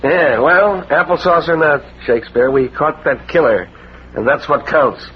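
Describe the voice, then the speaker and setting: A man acting a gruff, blunt, plain-spoken police sergeant in 1940s radio style. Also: a little high, unhurried, a little hiss or hum, natural voice. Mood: emphatic. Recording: muffled vintage radio recording.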